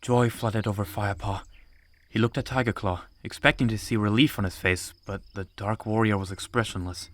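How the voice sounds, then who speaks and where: A man's voice: on the low side, fairly even intonation, a little fast, a bit bright. A man reading scripted audiobook narration of an animal adventure story.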